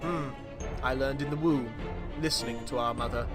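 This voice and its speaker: A man voicing a cold, scheming elderly villain in a comic dub, dry, measured and scornful. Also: mid-range voice, steady tone, over music, natural voice. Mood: cold, brooding. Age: very old.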